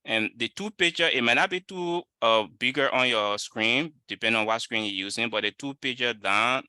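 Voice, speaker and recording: A man's voice: mid-range voice, normal speed, bright, forceful tone, clear, resonant tone, dry recording. A man from Liberia with a Liberian accent, giving an informal webinar lecture in an explanatory, emphatic way. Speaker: webinar speaker, student researcher.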